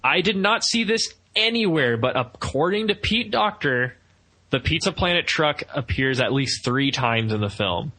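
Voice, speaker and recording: A man's voice: on the high side, animated, normal speed, sharp tone. A man co-hosting a film review podcast, chatting casually with geeky enthusiasm. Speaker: podcast co-host reviewing films. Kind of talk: casual fan discussion.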